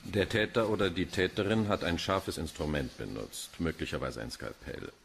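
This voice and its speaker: An actor playing a cynical, erudite middle-aged police pathologist in a German radio crime drama, wordy and dryly pedantic. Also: male voice, low voice, moderate pace, vocal fry, fairly bright tone, a little hiss or hum. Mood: clinical, detached. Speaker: forensic pathologist character.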